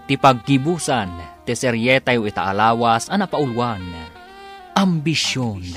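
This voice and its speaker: A man announcing a radio drama in a measured, formal manner. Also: mid-range voice. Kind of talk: scripted broadcast announcing.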